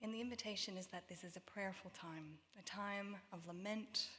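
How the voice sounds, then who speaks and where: A woman with a Canadian accent speaks as a worship leader, her voice at a middling pitch. She is solemn and deliberate, setting out a prayerful reflection one phrase at a time. Long reverb from a large hall surrounds her words. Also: sharp tone. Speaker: worship leader at a church synod. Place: large hall at a church meeting.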